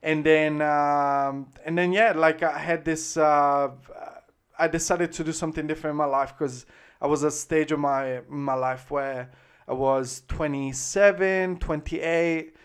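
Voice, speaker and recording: A man's voice: on the high side, steady tone, unhurried, clean tone. A man in his thirties from Italy with an Italian accent, chatting as an interview guest in a rambling way, full of fillers and restarts. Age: 30s. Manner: rambling, heavy use of "like" and "um", frequent restarts. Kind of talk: casual interview chat. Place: Australia.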